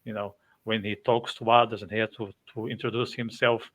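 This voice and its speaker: A man's voice: lowish voice, flat delivery, brisk, fairly bright tone, lo-fi sound. A man hosting a podcast interview, speaking hesitantly with frequent fillers and restarts. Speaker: podcast host. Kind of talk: casual interview.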